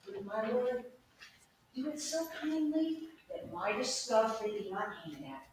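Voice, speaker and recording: A woman playing a scheming young gentleman who informs on a friend in a staged Shakespeare reading, with a deliberate, confiding theatrical delivery. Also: on the high side, animated. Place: staged reading in a large echoey room. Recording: echoey hall from far off, faint noise.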